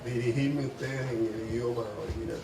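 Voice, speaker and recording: A man's voice: mid-range voice, fairly even intonation, quick pace, vocal fry, crowd noise. A man from Cuba with a Cuban accent giving a short formal greeting as an ambassador. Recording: echoey, distant mic, loud noise.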